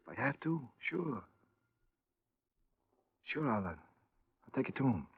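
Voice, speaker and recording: An actor playing a guilt-ridden small-time peddler in a 1950s radio crime drama, speaking haltingly and repeating himself. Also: male voice, mid-range voice, big pitch swings, creaky, very noisy, exaggerated voice. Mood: resigned. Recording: dull lo-fi old radio broadcast.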